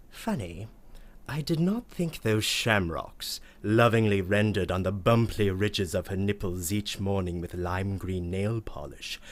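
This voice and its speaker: Man playing a florid, prim lady narrator, lively pitch. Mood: arch, wry. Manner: pompous, florid, savoring words. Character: prim, florid, girlish-minded lady narrator, aunt of a niece. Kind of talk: theatrical scripted radio play monologue.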